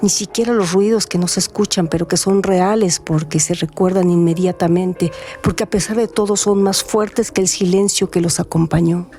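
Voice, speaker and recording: A woman narrating a literary radio drama in a measured, atmospheric storytelling manner. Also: fairly low pitch, fairly even intonation, warm tone. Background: music.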